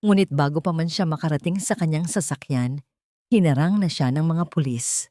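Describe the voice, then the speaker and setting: Low-voiced woman narrating a dramatic story. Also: normal speed, warm tone, no echo, studio quality.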